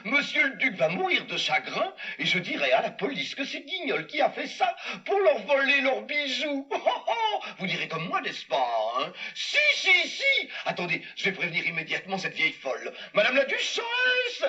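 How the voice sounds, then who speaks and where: A man playing a scheming castle steward villain, shrill and lively. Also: normal speed, few pauses, exaggerated voice. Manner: theatrical, gleeful, addresses the audience. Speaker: puppeteer. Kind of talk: live puppet show for children. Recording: dull recording from far off.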